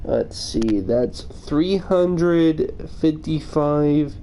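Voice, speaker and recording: A man with a fairly high voice chats on a livestream at a slow pace. His phrasing is wordy and oddly formal as he answers viewers. Some noise sits behind him. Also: expressive, mellow, poor audio. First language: English. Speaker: streamer. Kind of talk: casual livestream chat answering viewer questions. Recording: noticeable background noise.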